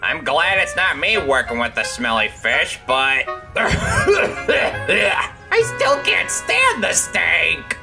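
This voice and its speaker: A man's voice: fairly high pitch, wildly swooping pitch, takes it slowly, gravelly, over music, exaggerated voice. Man voicing a cheeky, swooping steam engine. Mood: disgusted. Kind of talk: cartoon-style character dub.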